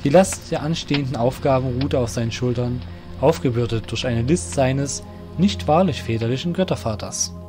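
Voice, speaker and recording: Man, mid-pitched, scripted storytelling narrator, audio drama, faint music. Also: moderate pace.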